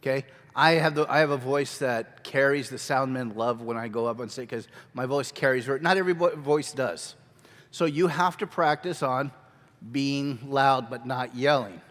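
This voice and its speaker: A man leads a children's ministry workshop in an energetic, conversational way, full of quick asides. His voice sits at an ordinary middle pitch. He speaks into a handheld mic, and the big church hall leaves a long reverb behind him. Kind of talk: conference workshop talk. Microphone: handheld microphone.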